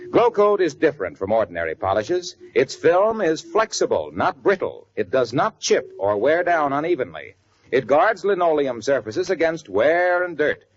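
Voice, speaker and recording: A man with an American accent announcing scripted 1940s radio ads in a polished, salesmanlike manner. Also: a little high. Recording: muffled 1940s radio recording.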